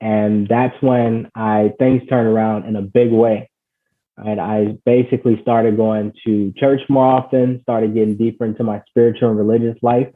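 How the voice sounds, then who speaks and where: A man's voice: fairly low pitch, little pitch movement, moderate pace. Man telling his story over a tinny call. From Ghana. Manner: earnest, uses many fillers like "you know" and "basically". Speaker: guest. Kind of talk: conversational storytelling. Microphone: call audio. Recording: tinny phone-like call audio.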